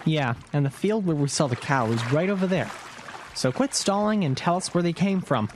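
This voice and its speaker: Casting: male actor as matter-of-fact boy, lightly high voice, radio play, clear background noise. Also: expressive, moderate pace, close to an everyday voice. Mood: wry, insistent. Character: level-headed, wry boy on an adventure. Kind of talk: audio drama acting.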